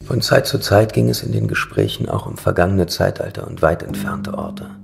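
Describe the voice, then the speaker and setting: A man narrating a gothic horror audio drama in a grave, ominous, measured way. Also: lowish voice, very animated, creaky, music in the background, exaggerated voice.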